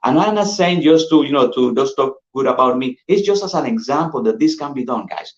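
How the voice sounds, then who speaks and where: A man with a Colombian Spanish accent, a sales manager giving an energetic, persuasive pitch and calling his listeners "guys" over and over. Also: a little fast. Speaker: insurance sales manager presenting. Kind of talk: upbeat sales pitch on a call. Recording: dull roomy lo-fi audio.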